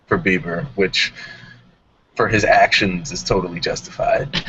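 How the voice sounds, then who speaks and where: Very deep-voiced man hosting casual talk. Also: fairly even intonation, a little slow, a bit bright, husky. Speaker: host of a music talk show. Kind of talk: casual panel discussion. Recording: dull audio with background noise.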